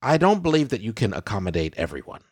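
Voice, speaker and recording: A man's voice: lowish voice, sing-song, quick pace, no echo, studio quality. Theatrical ranting male podcast host. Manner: ranting, theatrical, repeats "okay?" and "I digress". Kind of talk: casual comedic podcast banter.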